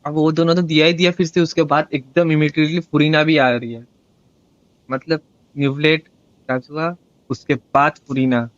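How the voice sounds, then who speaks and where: A man's voice: a little high, fairly even intonation, rapid-fire, dry recording. A man chatting casually as a live game streamer. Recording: dull mic with game music behind. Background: game music.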